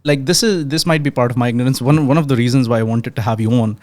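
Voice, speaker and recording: A young man from Pakistan hosting a podcast, his voice sitting at an ordinary middle pitch. He moves from a read intro into loose, searching questions, often restarting his sentences as he talks. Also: fast, darkish tone. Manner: scripted intro, then hesitant conversational questions with restarts. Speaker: podcast host. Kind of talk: podcast interview.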